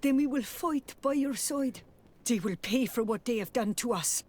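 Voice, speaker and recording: A woman's voice: a little high, normal speed, darkish tone, close to an everyday voice. A woman playing a proud, grateful freed captive in a video game, speaking in a solemn, heartfelt way. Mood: grateful, determined. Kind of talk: scripted video game acting.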